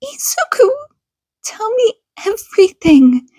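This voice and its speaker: Woman acting out a high, breathy, distressed mother. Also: big pitch swings, slow, dark tone, no room sound, exaggerated voice, rough recording. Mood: anxious. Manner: breathy, emotional delivery. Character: distressed, protective mother, upset and stern. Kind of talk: storybook character acting.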